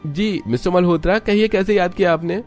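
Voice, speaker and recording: A man's voice: sits high, fairly quick, darkish tone, faint music behind, voice pushed up, close to an everyday voice. A man voicing an earnest young husband and father-to-be in a cartoon story. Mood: worried.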